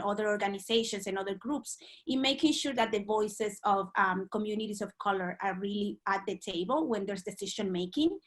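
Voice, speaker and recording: Bright-voiced woman, hesitant, from Dominican Republic. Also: medium pitch. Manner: hesitant, many ums and uhs. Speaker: guest. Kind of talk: remote podcast interview.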